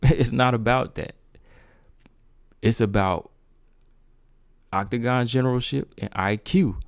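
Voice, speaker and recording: A man's voice: medium pitch, slow, clean tone, no room sound, studio quality. A man hosting a casual MMA livestream, asking his viewers rhetorical questions. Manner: talks straight to viewers, asks rhetorical questions. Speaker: MMA talk show host.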